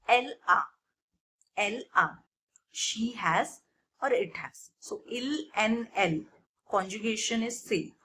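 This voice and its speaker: A woman teaching a beginner language lesson, deliberately repeating phrases for learners. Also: mid-range voice, bright, forceful tone, a little hiss or hum. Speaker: language teacher and channel host. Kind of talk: beginner French lesson, explanatory.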